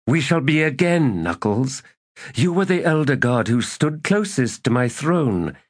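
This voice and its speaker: A man voicing a bitter, ambitious one-eyed male elder god who speaks in a forceful, scornful and commanding way. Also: medium pitch, very animated, unhurried, voice pushed up. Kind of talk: audiobook character reading.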